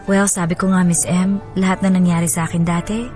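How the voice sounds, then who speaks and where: A woman's voice: fairly low pitch, little pitch movement, brisk, lightly acted. Woman acting a confiding wife, music underneath. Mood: rueful, confiding. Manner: reflective, confiding. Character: wife reflectively telling her bittersweet marriage story. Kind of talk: scripted first-person radio drama narration.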